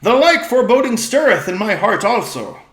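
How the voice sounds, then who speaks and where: A resolute young king from a storybook, put on by a man reading aloud. He speaks in stately, old-fashioned phrases, pitched high and emphatic. The sound is roomy. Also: big pitch swings, normal speed, a bit bright. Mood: solemn. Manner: formal, old-fashioned speech. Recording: roomy echo.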